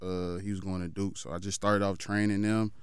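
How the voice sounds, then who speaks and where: A man in his thirties from Florida, a football trainer, talking casually as an interview guest in a laid-back way that trails off into low murmurs. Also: level tone, a little fast, smooth, dry recording, clean studio sound. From Fort Lauderdale, Florida.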